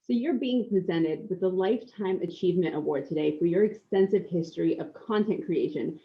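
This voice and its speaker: A woman's voice: fairly low pitch, brisk, lo-fi sound. A woman interviewing a guest, asking polite, upbeat questions. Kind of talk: red carpet interview.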